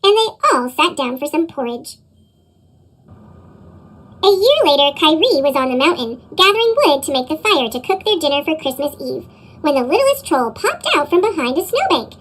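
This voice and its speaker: Squeaky chipmunk-effect woman storyteller. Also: very animated, moderate pace. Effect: sped-up chipmunk pitch effect. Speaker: storybook reader. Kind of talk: children's read-aloud narration.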